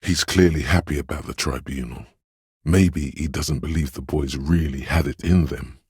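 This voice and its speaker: A man voicing a game's inner voice of empathy, a gentle, perceptive, sorrowful narrator who speaks in a measured, solemn, intimate way. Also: very deep voice, slightly rough, dry recording, clean studio sound, full character voice. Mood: tender, perceptive. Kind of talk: scripted second-person game narration.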